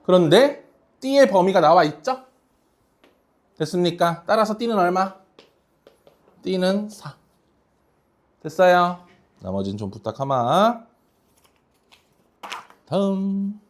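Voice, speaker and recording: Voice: mid-range voice, big pitch swings, slow, pauses often. A math teacher working through problems in a classroom lesson, speaking casually and often asking students questions to check they follow.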